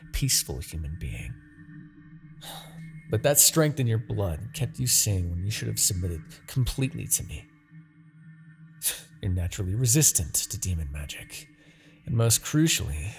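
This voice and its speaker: Man acting a haughty, gravelly demon king. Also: fairly low pitch, expressive, faint music behind. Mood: persuasive, menacing. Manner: theatrical, taunting, drawn-out dramatic delivery. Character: haughty male demon king, seductive, menacing, obsessive villain. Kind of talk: scripted fantasy ASMR roleplay acting.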